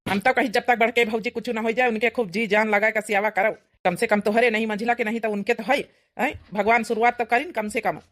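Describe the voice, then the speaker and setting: A woman's voice: a little high, fairly even intonation, fairly quick, a bit bright, lightly acted. A woman voicing a suspicious, worried young village husband with an Awadhi accent, muttering and exclaiming in a comedy cartoon. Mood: earnest, grumbling.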